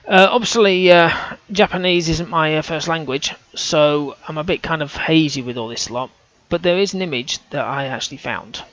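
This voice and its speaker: A man's voice: on the high side, normal speed, hardly any pauses, fairly bright tone, no room sound, highs cut off. A man talking through on-screen images, casual and hesitant, often restarting his sentences as he thinks aloud. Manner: hesitant, self-correcting, casual. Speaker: amateur researcher.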